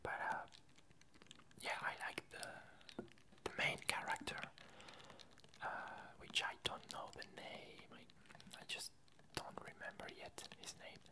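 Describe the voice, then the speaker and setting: A woman whispering a casual ASMR update, talking in halting, softly hesitant phrases. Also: poor audio. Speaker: ASMR video creator.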